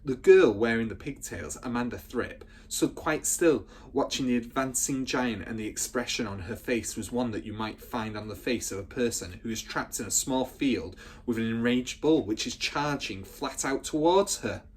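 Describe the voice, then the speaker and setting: A man's voice: medium pitch, normal speed. A man reading a children's story aloud as a teacher would, with dramatic, emphatic expression.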